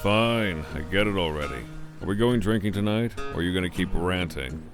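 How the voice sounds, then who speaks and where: An actor playing a terse, deadpan young yakuza brawler in a video game fan dub, over guitar music. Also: male voice, low voice, moderate pace. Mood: deadpan, unimpressed.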